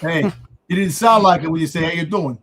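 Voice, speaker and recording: A man's voice: on the high side, fairly quick, crowd noise. A man on a casual wrestling fan panel, bantering and repeating his phrases for emphasis.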